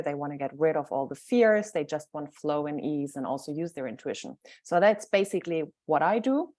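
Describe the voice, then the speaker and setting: A German woman with a clear German accent gives a guest talk on mindset. Her voice sits somewhat low. She speaks informally and thinks aloud, often reaching for the next word. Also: dark tone. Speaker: mindset coach.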